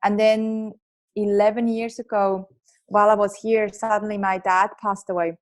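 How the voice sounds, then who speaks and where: A woman in her thirties with a strong Swiss accent, a guest telling her story openly in a podcast interview, quick bursts with restarts. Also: medium pitch, level tone. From Switzerland. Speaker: guest, entrepreneur and author.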